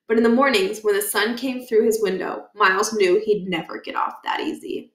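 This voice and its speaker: A woman reading a children's book aloud as expressive narration, with casual explanatory asides. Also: medium pitch, moderate pace. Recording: echoey room.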